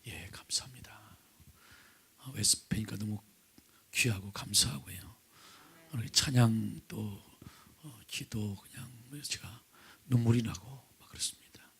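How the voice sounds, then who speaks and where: Man, pastor, hoarse, gravelly fry, breathy half-whispers, earnest sermon, questions to the pews. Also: lowish voice, a little slow, long pauses, a bit bright. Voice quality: raspy, gravelly. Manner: earnest, often drops to a breathy near-whisper, asks the congregation questions. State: hoarse from vocal nodules. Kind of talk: sermon with opening prayer. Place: church sanctuary, at the pulpit.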